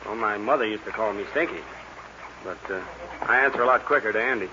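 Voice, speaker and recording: Man acting a quick-talking cocky cowboy drifter, low-voiced. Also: lightly acted. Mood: cocky flirting. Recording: muffled noisy 1940s radio recording.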